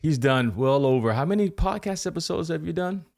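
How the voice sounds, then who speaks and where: A man's voice: on the high side, expressive, fairly quick, creaky, darkish tone. A man hosting a podcast interview, rambling through his questions with many restarts and fillers. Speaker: podcast host. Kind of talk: casual interview.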